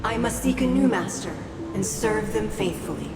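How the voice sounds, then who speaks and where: A fervent female servant played by an actress speaks in a mid-pitched, theatrical voice. Her formal, eager devotion rings in a hall with music behind. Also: lively intonation, normal speed, natural voice.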